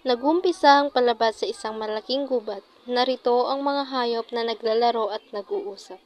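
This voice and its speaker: A woman's voice: fairly high pitch, normal speed, darkish tone. A woman narrating a scripted children's puppet story, with faint noise behind.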